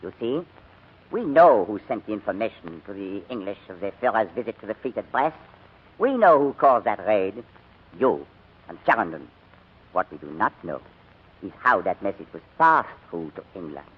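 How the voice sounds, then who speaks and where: Sneering Gestapo major, husky. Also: male voice, on the low side, big pitch swings. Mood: cold menace. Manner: sardonic, deliberate, menacing. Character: sneering Gestapo major, menacing, coldly polite interrogator. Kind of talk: 1940s radio drama acting. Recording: muffled vintage 1940s radio recording.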